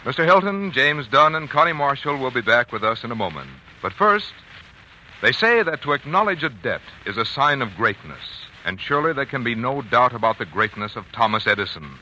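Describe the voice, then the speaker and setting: A man's voice: mid-range voice, sing-song, brisk, sharp tone. A man working as a radio announcer, reading a polished, formal 1940s sponsor message. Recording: dull, faintly noisy 1940s radio broadcast.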